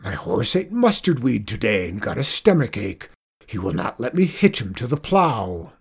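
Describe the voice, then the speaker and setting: Storyteller playing a loud, angry, gloomy farmer. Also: mid-range voice, big pitch swings, a little slow, gravelly, slightly rough, exaggerated voice. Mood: shouting anger. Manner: shouting, forceful, alarmist. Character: angry gloomy shouting farmer predicting disaster.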